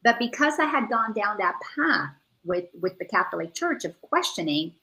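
Gravelly-voiced earnest woman on a call. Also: medium pitch, big pitch swings, normal speed, bright, forceful tone, low-quality recording. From Dominican Republic. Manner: earnest, searching, repeats words as she thinks. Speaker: guest. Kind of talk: casual spiritual chat.

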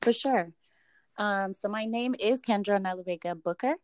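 A young woman from East Africa, whose first language is Luganda, chats brightly as a podcast guest. She talks in a casual, upbeat way, with plenty of fillers and easy laughs. Her voice sits mid-pitch and sounds close and dry. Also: moderate pace, no echo. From East Africa (Uganda, Rwanda). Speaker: podcast guest, doctoral student. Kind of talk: casual interview chat.

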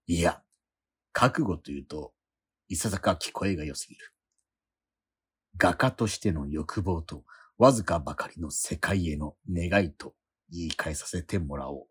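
An actor playing an obsessive, starving painter who narrates his own work in a radio drama with a slow, heavy inner monologue. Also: sits low, husky, heavily acted. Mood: brooding. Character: obsessive, starving male painter, feverish and brooding. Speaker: struggling painter.

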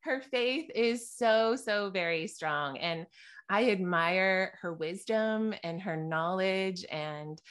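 A woman hosting a faith podcast, bubbly and quick to laugh. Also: medium pitch, fairly bright tone, clear, resonant tone. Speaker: podcast host. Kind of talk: upbeat faith podcast interview.

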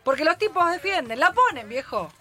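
A woman's voice: high voice, very animated, brisk, sharp tone, faint music behind, full character voice. A woman with an Argentine accent playing a furious male football pundit who rants emphatically and repeats himself in a comic radio reenactment. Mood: insistent. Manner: emphatic, repetitive, exasperated.

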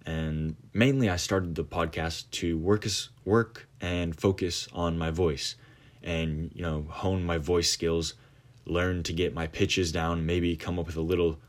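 Very deep-voiced young man, rambling. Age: late teens. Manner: rambling, many fillers and restarts. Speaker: podcast host. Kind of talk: casual monologue.

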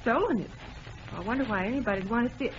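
An actress plays a brisk young lady in an old radio play. Her voice is fairly high and dry with cool exasperation, on a dull, slightly hissy recording. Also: lively intonation, close to an everyday voice. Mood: exasperated. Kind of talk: 1930s radio comedy acting.

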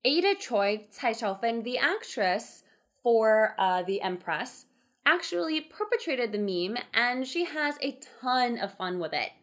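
Lively woman podcast co-host. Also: fairly high pitch. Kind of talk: casual podcast chat.

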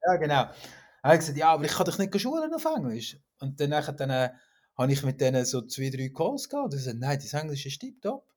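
Lively Swiss German man, slightly high. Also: fast. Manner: lively storytelling with stumbles. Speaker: podcast co-host. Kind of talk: casual chat.

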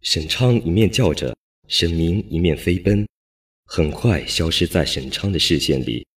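A man's voice: deep voice, animated, measured pace. A man narrating an audio drama as a scripted storyteller.

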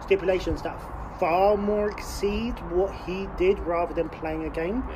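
Man in his late twenties, light mid-pitched voice, sweary rambling podcast chat, traffic noise behind. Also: fairly even intonation, measured pace, lo-fi sound. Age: late 20s. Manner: sweary, rambling, laughs often. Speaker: podcast co-host. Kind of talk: casual chat.

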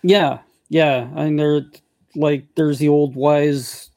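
A man in his forties from the United States West Coast, co-hosting a podcast and telling stories in relaxed casual chat. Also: a little high, takes it slowly, no echo. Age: 40s. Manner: relaxed storytelling, agreeable. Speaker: podcast co-host.

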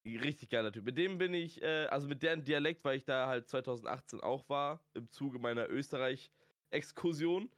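A man's voice: medium pitch, fairly quick, dry recording, studio quality. A man chatting casually and telling slangy anecdotes as a podcast guest. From northern Germany. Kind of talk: casual chat.

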